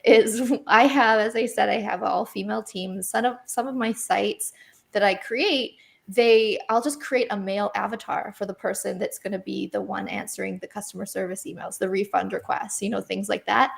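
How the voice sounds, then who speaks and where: A woman's voice: mid-range voice, steady tone, moderate pace, vocal fry. A woman with a Canadian accent, a guest in a casual discussion, whose statements rise like questions and who laughs often. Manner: upspeak, rising intonation; frequent laughs and fillers. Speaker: guest site owner.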